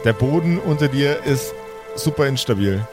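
A man's voice: medium pitch, animated, moderate pace, music in the background. A man, casually improvising narration as game master of a tabletop role-playing game.

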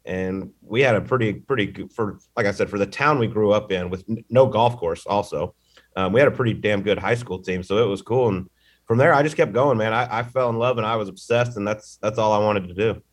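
A man's voice: lowish voice, a little fast. A man in his thirties with a Texas accent, telling stories in an easygoing, casual way as an interview guest. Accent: Texan. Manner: easygoing storyteller with fillers. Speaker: golf broadcaster, podcast guest.

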